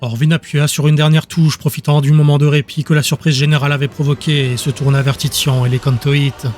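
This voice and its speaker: Higher-pitched man narrating a dramatic audiobook. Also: flat delivery, brisk, darkish tone. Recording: music behind the voice.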